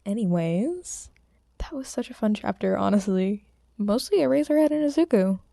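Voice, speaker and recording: A woman's voice: medium pitch, animated, normal speed, gravelly, dark tone, no echo, clean studio sound. A woman with a sore throat, speaking as an amateur audiobook reader in an informal, homemade style. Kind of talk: story narration and casual chat with listeners.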